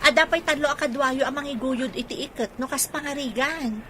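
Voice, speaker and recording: A woman's voice: sits high, brisk, natural voice. A woman playing an earnest, respectful young daughter with big dreams in a radio drama. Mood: earnest, dutiful. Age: young adult.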